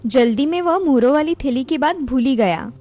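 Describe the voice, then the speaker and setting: A woman's voice: high voice, lively intonation, normal speed, warm tone. A woman narrator reading a scripted moral story for a cartoon.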